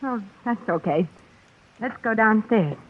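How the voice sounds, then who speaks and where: A woman's voice: a little high, animated, measured pace, background noise. An actress playing a self-possessed, tough-minded young woman under suspicion in a vintage radio suspense drama. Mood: frank, calm. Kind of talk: 1940s radio suspense acting. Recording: dull vintage radio recording.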